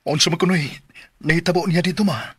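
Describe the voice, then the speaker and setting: A man's voice: fairly high pitch, fairly even intonation, fast, fairly bright tone, slight background noise, lightly acted. An actor playing a firm, defiant young village man in a radio drama. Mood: determined. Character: defiant young village man bent on ending an overlord's evil. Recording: music behind.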